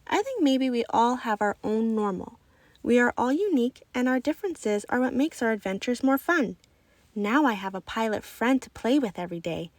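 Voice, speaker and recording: A woman reading a children's book aloud in an expressive, animated storytelling style. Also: fairly high pitch, normal speed, dry recording. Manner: animated, acts out children's dialogue.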